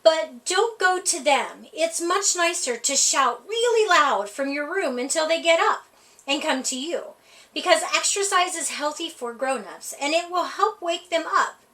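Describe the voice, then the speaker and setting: A woman reading a children's picture book aloud for a library storytime, with a playful, expressive delivery. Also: sits high, a little slow. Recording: voice heard from a distance off the mic.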